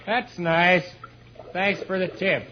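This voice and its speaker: An actor playing a grumbling, wisecracking, folksy sidekick in an old-time radio space serial. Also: male voice, high voice, fairly even intonation, takes it slowly. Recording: muffled old radio recording, clear hiss.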